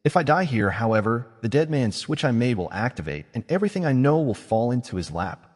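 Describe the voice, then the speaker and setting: A man's voice: mid-range voice, very animated, brisk, darkish tone, breathy edge, in a large hall. A man voicing a vain, playful witch woman who has been made young again, teasing and self-satisfied. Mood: smug.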